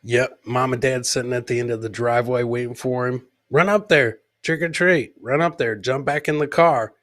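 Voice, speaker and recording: A man's voice: medium pitch, steady tone, normal speed, fairly bright tone. A man hosting a comedy podcast, giving joking commentary on clips, repeating his phrases for effect and mimicking the people he talks about. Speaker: host of a live comedy podcast.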